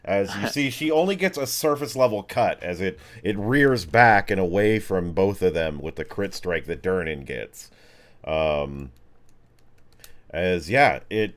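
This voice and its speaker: Low-voiced man narrating a tabletop game. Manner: casual, slangy, vivid action descriptions. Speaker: game master.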